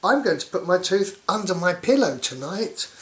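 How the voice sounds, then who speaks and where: A man voicing an excitable, eager little boy from a children's storybook. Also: sits high, moderate pace, pitched above the performer's own voice. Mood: explaining, eager.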